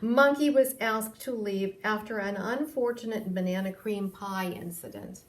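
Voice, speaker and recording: A woman reading a picture book aloud for children, expressively and with dramatic pauses. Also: medium pitch. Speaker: library storytime reader. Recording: roomy echo, distant microphone.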